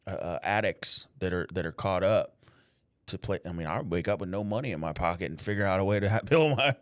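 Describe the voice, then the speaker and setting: Male podcast host, very deep voice, dry sound, earnest, says "like" and "man" a lot. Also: fairly quick, no room sound. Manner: earnest, faith-driven, fills with "like" and "man"; shares his own experience while asking questions. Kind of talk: casual interview conversation.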